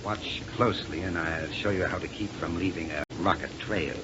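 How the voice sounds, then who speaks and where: An actor playing a ruthless, impatient gang leader who snaps orders, in a 1930s radio serial style. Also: male voice, sits low, normal speed, lightly acted. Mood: brisk, commanding. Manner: curt, bossy, snapping orders. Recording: muffled, noisy 1930s radio recording.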